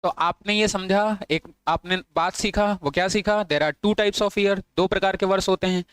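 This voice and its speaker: A man's voice: high voice, steady tone, unhurried, a bit bright, smooth, no room sound. A man teaching an online exam-prep lesson, repeating points and asking and answering his own questions, with English phrases mixed into his Hindi.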